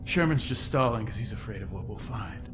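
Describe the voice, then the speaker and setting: A man's voice: lowish voice, animated, brisk, natural voice. A man playing a grim, suspicious widowed crewman in a horror spoof, stern, terse and menacing. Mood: stern, accusing. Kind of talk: horror spoof dramatic acting. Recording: loud dramatic music behind voice. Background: loud suspenseful music.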